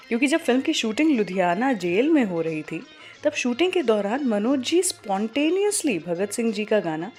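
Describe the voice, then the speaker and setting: A woman's voice: fairly high pitch, a little mellow. A woman with an Indian accent presenting a radio show, telling film trivia in a conversational storytelling way with English words mixed in. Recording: music playing behind the voice. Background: background music.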